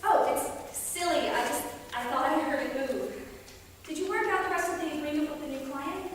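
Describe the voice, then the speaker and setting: A woman's voice: high voice, normal speed, close to an everyday voice. A woman playing an earnest, insistent wife who believes her house is haunted, in a staged play reading with emphatic, pleading delivery. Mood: casual, evasive. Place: theatre hall at a staged reading. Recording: very echoey hall, distant mic, faint noise.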